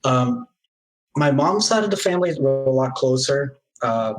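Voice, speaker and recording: A man from Brazil answering interview questions in a relaxed, hesitant way with lots of fillers. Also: mid-range voice, normal speed, rough recording. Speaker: interview guest. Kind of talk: casual conversational answers.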